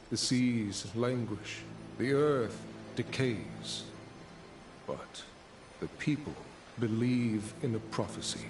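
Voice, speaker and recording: Slow, solemn prophecy narrator. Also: male voice, medium pitch, noisy background. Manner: grave, portentous. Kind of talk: scripted dramatic narration.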